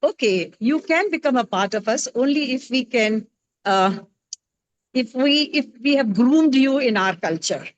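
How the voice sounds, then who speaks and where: A middle-aged woman with an Indian accent talks through her views on a panel, slowly and thoughtfully, often pausing with fillers as she searches for the right words. Her voice sits a little high, and the recording is close and dry with no room sound. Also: lively intonation, no room sound, lo-fi sound. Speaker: roundtable panelist. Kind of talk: panel discussion, conversational.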